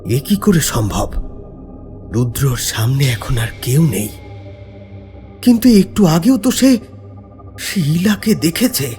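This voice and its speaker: A man tells a scripted horror story as its narrator. His mid-pitched voice is dark and mellow, moving expressively through suspenseful, dramatic delivery.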